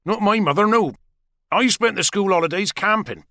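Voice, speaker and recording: A man's voice: sits high, lively intonation, moderate pace, bright, forceful tone, no echo, pitched above the performer's own voice, full character voice. A man voicing a breezy middle-aged dad. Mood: chatty.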